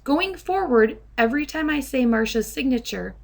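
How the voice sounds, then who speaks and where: A woman presenting step-by-step software training in a deliberate, instructional manner. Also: sits high, animated, gravelly. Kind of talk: step-by-step tutorial narration. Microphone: handheld dictation microphone.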